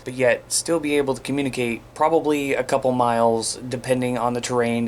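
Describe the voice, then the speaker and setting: Casual man explaining, noisy recording. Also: mid-range voice. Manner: informal, conversational, repeatedly addressing viewers as you guys. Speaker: video host. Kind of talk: casual instructional talk, demonstrating radio gear.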